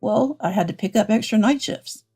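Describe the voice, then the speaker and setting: A sheepish husband, played by a woman narrator, makes evasive excuses in a story drama. The voice is soft and mellow, with faint music behind it. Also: mid-range voice, moderate pace, breathy edge. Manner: evasive, self-justifying.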